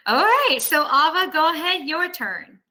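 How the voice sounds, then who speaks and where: Woman, online teacher, higher pitch, forceful bright tone, conversational, explanatory, frequent check-ins. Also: very animated, slow. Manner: explanatory, often seeking agreement with "right?". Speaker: professor teaching an online class. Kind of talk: casual, conversational lesson to students. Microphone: video call.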